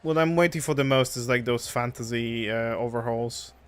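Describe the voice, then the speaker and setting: A man from Poland, a native Polish speaker with a Polish accent, casually chatting with viewers while playing a game, halting and restarting his words. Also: mid-range voice. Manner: relaxed, halting, frequent restarts. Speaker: streamer. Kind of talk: casual live-stream chat while gaming.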